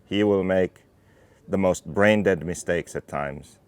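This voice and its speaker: A man in his thirties from Finland, a racing team owner calmly explaining his car in technical detail. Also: sits low, slow pace, smooth. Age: 30s. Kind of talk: interview talk about his car.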